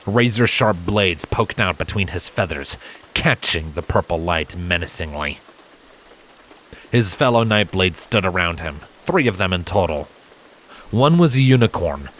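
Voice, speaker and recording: Deep-voiced man narrating dramatically over rain. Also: a bit bright, slight background noise, rough recording. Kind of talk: dramatic fan fiction narration. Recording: rain sound effects behind voice. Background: rain ambience.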